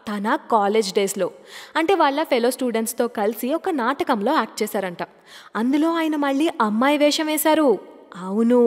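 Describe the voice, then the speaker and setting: Woman radio host, chatty and questioning, in a reverberant space. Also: high-pitched. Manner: chatty, asks listeners questions. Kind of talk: casual radio show chat.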